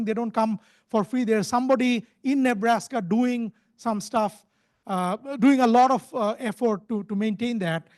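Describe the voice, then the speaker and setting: A man presenting at a conference as a speaker, in a halting delivery with frequently repeated words and restarted phrases. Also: very high-pitched, a little mellow. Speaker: conference speaker, company founder.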